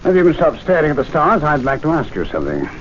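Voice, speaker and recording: An actor playing a dry, wry, urbane gentleman in a 1970s radio drama, on a dull broadcast recording. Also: a little high, huge pitch swings, fast, a little hiss or hum. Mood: probing. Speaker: club member.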